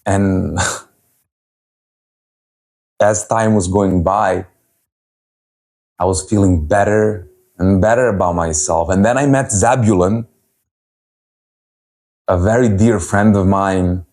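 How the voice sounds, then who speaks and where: Man, 30s, holistic health coach, very low voice, unhurried talk. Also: a little mellow. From Serbia. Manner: earnest, unhurried, with frequent pauses and fillers. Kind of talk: personal story talk at a virtual event.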